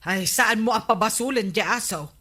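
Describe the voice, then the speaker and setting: A woman's voice: medium pitch, normal speed, pushed and bright. A woman with an Ilocano Filipino accent playing a scolding, unimpressed woman of the household in a radio comedy. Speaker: comedy drama actor.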